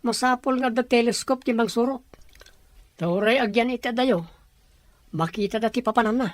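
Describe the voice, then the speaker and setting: A woman's voice: a little high, normal speed, dry recording, lightly acted. A woman with an Ilocano accent acting a suspicious, plotting character in a radio drama.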